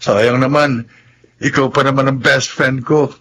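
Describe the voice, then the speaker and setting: An actor with a husky voice and Filipino accent playing a friendly young man who is a grateful best friend, in a radio drama. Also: mid-range voice, steady tone, unhurried, a bit bright. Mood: warm, wistful. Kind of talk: Tagalog radio drama acting.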